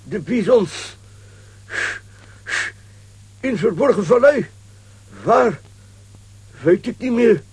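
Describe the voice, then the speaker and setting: Very high, husky comic oddball. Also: male voice, animated, drawn-out words, long pauses, a little mellow, no room sound, full character voice. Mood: halting. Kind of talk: children's audio drama acting.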